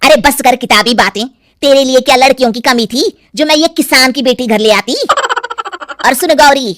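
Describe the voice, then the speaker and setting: Lady playing a bossy greedy village saas in a cartoon, high voice, pushy and scolding. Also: normal speed, no echo, studio quality, close to an everyday voice. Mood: angry, bossy. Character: greedy, bossy middle-aged village mother-in-law.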